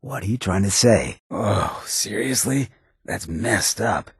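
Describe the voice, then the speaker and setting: A sardonic ghoul outlaw from a video game, with a heavily rasping, creaky voice. He is sly, laid-back and streetwise, a swaggering town boss who talks in slang. The sound is close and dry. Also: fairly low pitch, animated, takes it slowly, no room sound, full character voice. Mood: wry bargaining, then cool, approving. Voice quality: gravelly, raspy. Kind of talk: video game companion voice lines.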